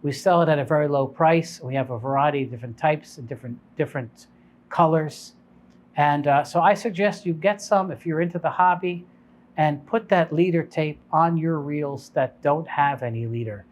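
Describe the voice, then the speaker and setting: A man's voice: a little high, normal speed. Man giving informal, explanatory product advice. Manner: conversational, unscripted, explanatory. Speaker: shop owner presenting product advice.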